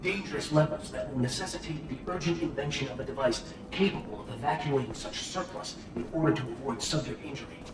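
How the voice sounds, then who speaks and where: An actor playing a detached researcher formally dictating an experiment log in a video game. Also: male voice, medium pitch, fast talker, few pauses, lightly acted. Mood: clinical. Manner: clinical, formal. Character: clinical, detached male researcher logging an experiment. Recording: echoey, distant, noisy archive recording.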